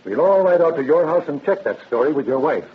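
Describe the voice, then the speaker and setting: Brisk detective captain played by a man, clipped and commanding, quick delivery, dull noisy vintage radio sound. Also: on the high side, expressive, lightly acted. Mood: stern. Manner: clipped, authoritative questioning. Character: brisk, businesslike male police detective captain. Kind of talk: 1930s radio acting.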